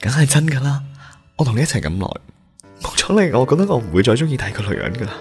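A man's voice: medium pitch, very animated, racing, darkish tone, close to an everyday voice. A man playing a devoted, lovelorn young groom in radio drama. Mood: reassuring. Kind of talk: Cantonese radio drama acting. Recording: music under the voice.

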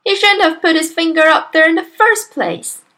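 A woman's voice: very high voice, moderate pace, bright, forceful tone, voice pushed up, heavily acted. A woman voicing a bossy, scornful wife and mother in a storybook, scolding and nagging. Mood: disapproving.

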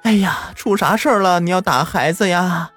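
A man voicing an old grandmother who speaks slowly and softly in a storybook tale. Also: high voice, husky, faint music behind, voice pushed up. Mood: doting. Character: old grandmother, soft and doting.

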